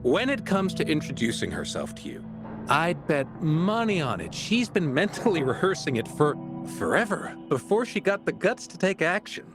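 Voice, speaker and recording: A man's voice: on the high side, sing-song, normal speed, over music. An actor voicing a teasing, talkative male pigment merchant in an anime-style game, with a chatty, playful and familiar manner.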